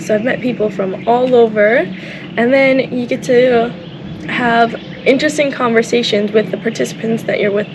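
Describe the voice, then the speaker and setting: A woman's voice: fairly high pitch, noisy background. A woman and native English speaker talking casually in a vlog, upbeat and enthusiastic about a volunteer program. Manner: upbeat, enthusiastic, repeats "awesome". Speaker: vlogger. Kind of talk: casual vlog talk to camera.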